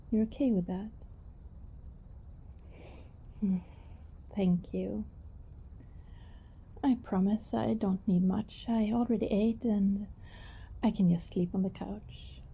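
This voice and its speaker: A woman playing a shy, secretive young vampire neighbor in an ASMR roleplay, speaking softly, hesitantly and apologetically. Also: mid-range voice, lo-fi sound, full character voice. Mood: grateful, tentative. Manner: hesitant, soft-spoken, apologetic, breathy.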